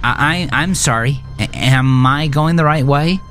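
A man plays a nervous young man in an audio drama. He is hesitant and apologetic, stammering in a raised pitch over faint music. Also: a little slow, a bit bright, close to an everyday voice.

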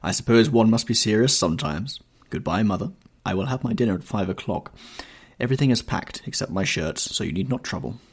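A man's voice: lowish voice, lively intonation, brisk, slightly rough. A man voicing a stern, sullen young son bound for sea, blunt, harsh and protective, in an audiobook. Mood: impatient. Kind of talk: audiobook character dialogue.

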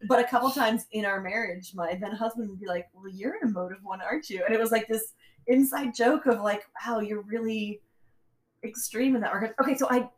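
A woman's voice: on the high side, fairly quick. A woman co-hosting a podcast in casual chat, self-mocking and emotive, laughing often. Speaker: podcast co-host.

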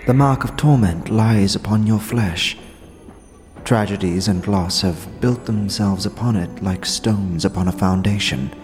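An actor playing a gentle, solemn otherworldly tattooist creature in an audio drama, speaking gravely and with measured reverence. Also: male voice, on the low side, long reverb tail, natural voice. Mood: grave, admiring. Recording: music behind the voice.

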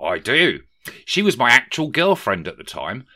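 A man voicing a pompous, money-obsessed male politician with a shrill, whiny manner. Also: huge pitch swings, normal speed, sharp tone, exaggerated voice. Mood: excitable, whiny.

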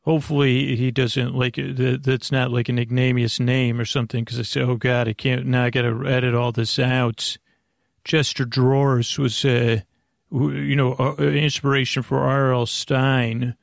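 Male sleep-podcast host: gravelly creak, mid pitch, soothing, halting, wandering monologue, dry close sound with faint music. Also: little pitch movement, no room sound. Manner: meandering, halting, keeps losing his thread; lulling, soothing delivery. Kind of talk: rambling, off-topic bedtime monologue.

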